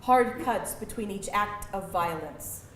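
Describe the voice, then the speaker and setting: A woman narrating a screenplay at a live table read, reading the stage directions in an expressive, theatrical way. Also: mid-range voice, unhurried. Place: live event room with an audience. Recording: echoey live room, distant mic, music, audience. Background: music and audience noise.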